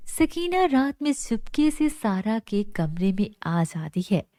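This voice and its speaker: A woman narrates a scripted children's story. She reads at a slow, deliberate pace. Her voice is mellow and fairly high, captured in a dry recording. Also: big pitch swings, dry recording.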